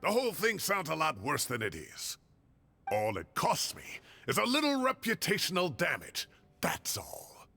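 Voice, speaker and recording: An actor playing a fussy, indignant retired professor in a video game, with a theatrical, huffy manner. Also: male voice, on the high side, wildly swooping pitch, normal speed, creaky, pushed and bright, faint music behind, heavily acted. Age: older. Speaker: game character.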